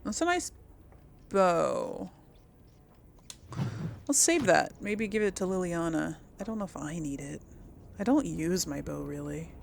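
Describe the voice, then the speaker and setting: A woman's voice: fairly low pitch, animated, a little slow, gravelly, pauses often, slight background noise. A woman giving casual, chatty gameplay commentary as host, musing aloud and often trailing off. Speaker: gaming video host.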